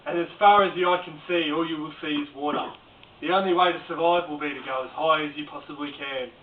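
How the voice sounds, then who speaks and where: A man plays a crude doomsday prophet, deadpan, in a fairly high voice. The mock documentary sounds homemade, roomy and phone-thin. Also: fast talker, natural voice. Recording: echoey, distant, tinny, noisy home recording.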